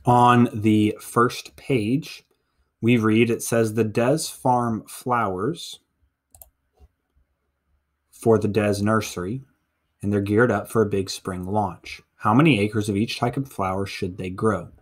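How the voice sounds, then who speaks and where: Male middle school teacher, American, lowish pitch, walking students through an on-screen exercise in methodical steps. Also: fairly even intonation, slow. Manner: step-by-step explaining, counting aloud. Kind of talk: instructional screencast walkthrough.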